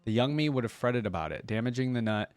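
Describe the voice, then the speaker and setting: A man's voice: medium pitch, lively intonation, fast talker, no echo, lightly acted. A man voicing a kindly old village woman from a storybook, with folksy, plain rustic speech. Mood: matter-of-fact, wise. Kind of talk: storybook reading.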